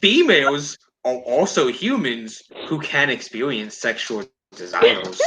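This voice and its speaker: A man speaks in a casual, sarcastic style, his pitch swinging widely as he reacts with blunt exasperation. There is faint noise behind his voice. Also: sing-song, a little slow. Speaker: co-host reacting to articles.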